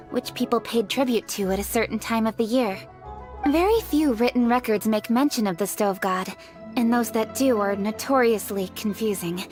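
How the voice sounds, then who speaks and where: A woman voicing an earnest, scholarly young official in a video game, speaking briskly and clearly. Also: fairly high pitch, lightly acted.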